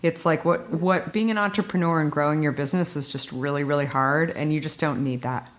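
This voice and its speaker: A woman's voice: low voice, a little fast. A woman hosting a casual interview podcast, talking in a rambling way full of "you know" and "like". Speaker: podcast host.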